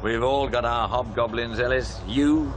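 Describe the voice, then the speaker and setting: An actor playing a world-weary, knowing sceptic with a sardonic conversational delivery in a radio drama. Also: male voice, mid-range voice, steady tone, unhurried, background noise, lightly acted.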